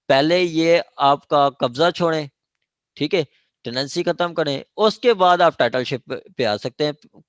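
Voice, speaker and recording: A man's voice: mid-range voice, dry recording. A man with a Pakistani accent gives an informal law lecture, explaining step by step, repeating himself, checking in often and mixing in English legal terms. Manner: explanatory and repetitive, with frequent check phrases; switches between Urdu and English legal terms. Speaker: law lecturer at a judicial academy.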